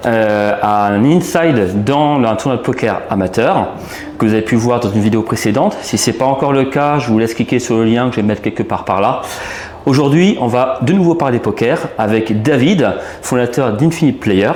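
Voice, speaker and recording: A man's voice: mid-range voice, lively intonation, moderate pace, darkish tone. A man hosting a video channel who introduces the episode and interviews guests in a friendly, lightly teasing way. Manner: upbeat and friendly, casual teasing questions. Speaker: YouTube channel host and interviewer. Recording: roomy room with faint noise.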